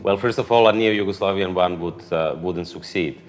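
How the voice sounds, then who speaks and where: A man's voice: low voice, moderate pace, big-room sound, a little hiss or hum. A man with a Croatian accent, speaking English as a second language in an interview, answering at length with many fillers and restarts. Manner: hesitant, frequent "uh" fillers and restarts. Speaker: interview guest, political activist.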